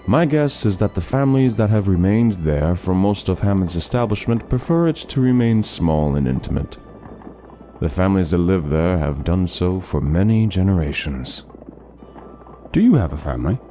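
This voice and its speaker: A man playing a courteous, learned, melancholy traveling scholar in a fantasy audio drama, speaking in measured, formal phrases with music behind him. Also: low-pitched, expressive, dark tone. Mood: genial, instructive. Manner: measured, formal, articulate storytelling.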